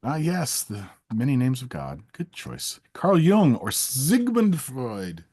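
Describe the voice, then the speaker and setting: Male interviewer, mid-pitched and expressive, playful and teasing, running a casual quickfire quiz. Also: breathy edge. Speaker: interviewer, host. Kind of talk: rapid either-or quiz in casual chat.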